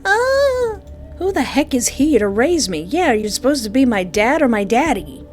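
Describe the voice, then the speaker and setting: A woman's voice: sits high, big pitch swings, normal speed, soft background music. A woman playing a visual novel, reacting playfully and mockingly with theatrical, sarcastic delivery. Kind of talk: playful reactions and dramatic reading aloud.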